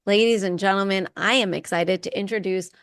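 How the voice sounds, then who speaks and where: A woman's voice: medium pitch, fairly even intonation, fairly quick, no echo, clean studio sound. A woman hosting a podcast, reading a guest introduction and then asking questions with an eager, filler-laden manner. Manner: enthusiastic, many um and uh fillers when asking. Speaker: podcast host. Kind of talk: scripted intro then casual chat.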